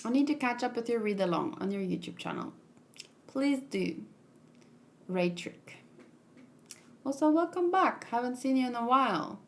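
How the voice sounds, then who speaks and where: A woman's voice: fairly high pitch, big pitch swings, normal speed, pauses often. A woman streaming a casual manga read-along, musing aloud and chatting with viewers as she goes.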